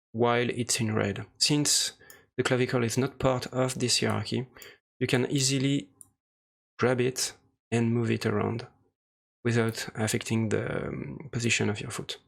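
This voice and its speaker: A man presenting an unscripted software tutorial, speaking hesitantly in short, halting phrases. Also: medium pitch, gravelly. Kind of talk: unscripted screen-recorded tutorial walkthrough. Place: voice-over for a screen recording.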